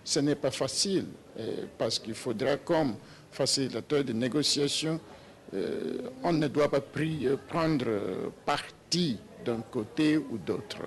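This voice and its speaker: A man in his sixties from Nigeria, a papal nuncio, answering interview questions slowly with many hesitations and restarts. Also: mid-range voice. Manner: slow and hesitant with many "euh" fillers and restarts. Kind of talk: slow, halting interview answers.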